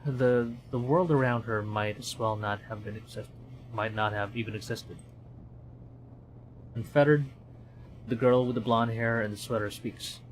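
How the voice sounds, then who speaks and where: A man's voice: medium pitch, unhurried, pauses often, a little hiss or hum, poor audio. A man from the Philippines, a streamer reading a horror visual novel aloud in a dramatic storytelling manner, with commentary.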